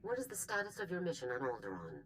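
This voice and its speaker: An actress playing a businesslike military general in a video game, clipped and authoritative. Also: female voice, extremely low pitch, huge pitch swings, quick pace, a bit bright, slight background noise, full character voice. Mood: brisk, official.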